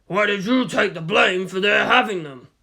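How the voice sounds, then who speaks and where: Picture a man playing a gunsmith in his seventies from an audio story. His voice crackles with gravel and carries a hard, forceful edge at a slightly raised pitch. He speaks bluntly and matter-of-factly, with a world-weary temper. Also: normal speed, exaggerated voice. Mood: weary, solemn.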